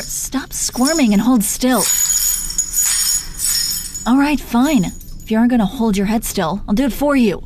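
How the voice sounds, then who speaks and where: A woman's voice: medium pitch, dark tone, lightly acted. A woman playing a teasing, protective female supervillain in her thirties in an intimate roleplay audio drama, foul-mouthed but coaxing, full of pet names. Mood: incredulous, softening. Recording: noticeable background noise.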